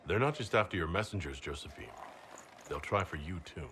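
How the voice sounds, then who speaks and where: A calm, low-voiced heroic leader. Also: male voice, fairly quick, a little hiss or hum. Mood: concerned, questioning. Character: calm, steady, protective heroic male leader. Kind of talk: video game cutscene acting.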